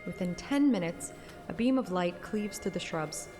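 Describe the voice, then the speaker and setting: A woman's voice: on the low side, expressive, normal speed, in a large hall, music in the background. A woman narrating a fantasy romance game story in an audiobook style. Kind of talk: audiobook narration with acted dialogue.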